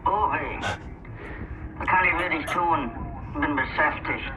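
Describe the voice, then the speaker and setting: An actor playing a busy, smug German officer in a video game, with a German accent. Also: male voice, on the high side, takes it slowly. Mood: brusque. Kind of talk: video game dialogue in a villain scene. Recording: dull radio link, noisy background.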